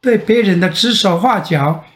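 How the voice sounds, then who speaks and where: An older man with a Taiwanese Mandarin accent tells a children's bedtime story in a gentle, expressive way with drawn-out phrase endings. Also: sits high. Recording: echoey room sound.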